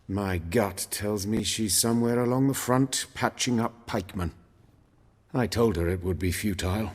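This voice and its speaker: A jaded, proud surgeon and scholar, played by a man, with a low, husky voice. He talks formally and dryly in measured sentences. The game dialogue rings with long reverb. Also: natural voice. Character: stern, learned male surgeon and professor, jaded, proud. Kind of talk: video game voice acting.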